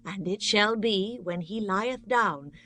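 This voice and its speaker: A woman playing a caring, devout older mother-in-law giving gentle, measured counsel in a dramatized scripture audiobook. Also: mid-range voice. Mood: gently advising.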